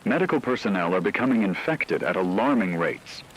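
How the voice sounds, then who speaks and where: Guy playing a serious radio news anchor in an apocalypse drama, low voice, formal analytical delivery, bit of background noise. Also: expressive, fairly quick, poor audio. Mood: grave. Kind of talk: scripted news report.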